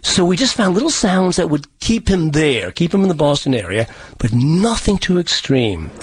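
A man's voice: fairly high pitch, sing-song, normal speed, breathy edge. A man being interviewed as a dialect coach, explaining sounds eagerly with examples.

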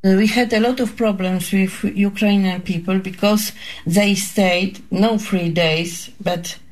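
A middle-aged or older woman with a Polish accent, answering interview questions in halting, simple second-language English. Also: mid-range voice, steady tone, darkish tone, rough recording. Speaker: charity founder, interviewee.

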